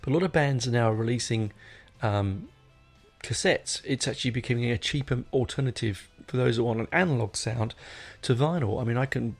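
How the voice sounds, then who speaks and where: Middle-aged man, gravelly, rambling podcast host. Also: medium pitch. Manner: rambling, digressive, self-correcting. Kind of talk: casual unscripted solo podcast chat.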